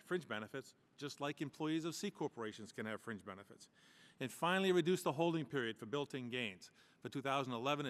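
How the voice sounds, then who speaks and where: A man's voice: mid-range voice, a bit bright, in a large hall. A man who prepares taxes for small businesses, reading prepared testimony before a committee, earnest and emphatic, with brief asides. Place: large hearing room.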